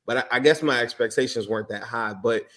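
A man's voice: medium pitch, brisk, a bit bright. A man hosting a casual sports podcast, chatty and rambling as he asks long, enthusiastic questions. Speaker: podcast host.

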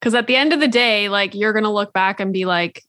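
An upbeat woman hosts a podcast, asking curious questions and reacting quickly. Her voice sits at a middle pitch, and the recording is dry and close to the mic. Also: a bit bright, dry recording. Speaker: podcast host. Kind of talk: casual interview.

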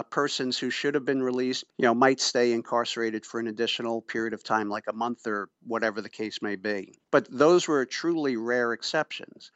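A man's voice: mid-range voice, a bit bright, dry recording. Middle-aged man explaining, slightly dull sound. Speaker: interviewee, pretrial services official and former prosecutor. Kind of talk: explanatory radio interview answers.

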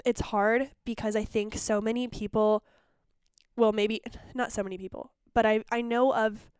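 A woman's voice: mid-range voice, a little mellow, smooth, no echo, clean studio sound. A woman hosting a podcast, congested and phlegmy, talking in a chatty, candid way. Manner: chatty and candid, speaks in quick bursts. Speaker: podcast host. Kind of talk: casual podcast talk.

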